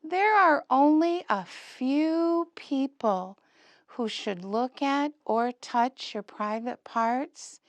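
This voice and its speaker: A woman plays a friendly boy puppet from a children's show, with a raised pitch and slow pace. The puppet is an earnest helper who talks to little ones in simple questions and explanations. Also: animated, no room sound, exaggerated voice.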